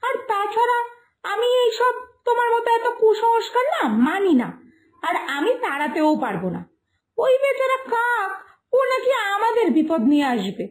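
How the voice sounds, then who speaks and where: A woman plays a lazy village daughter in a cartoon fairytale. The voice is very high and animated, whiny and irritated as she talks back defiantly. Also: normal speed. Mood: defiant, reasoning.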